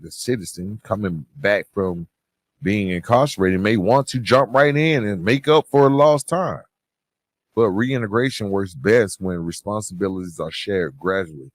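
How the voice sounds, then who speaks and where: A man with a fairly low voice delivers a motivational podcast monologue in a close, dry recording. He talks conversationally and straight to his listeners, stressing key words as he goes. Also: dry recording. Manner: conversational, addresses listeners directly as bro, man, guys. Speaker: podcast host.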